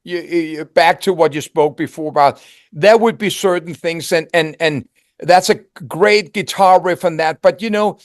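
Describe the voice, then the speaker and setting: An older man with a Danish accent, speaking in a fairly high voice for a man. He talks reflectively, often restarting and repeating words as he searches for phrasing. The recording is dry and close. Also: moderate pace, dry recording. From Denmark. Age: 60s. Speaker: interview guest, singer. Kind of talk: reflective conversational interview.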